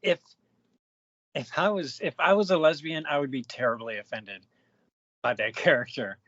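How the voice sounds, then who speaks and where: A man co-hosting a film podcast, talking casually in halting phrases with lots of restarts and "you know"s. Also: fairly high pitch, fairly bright tone, no room sound. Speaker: podcast co-host. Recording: dry, slightly dull podcast mic.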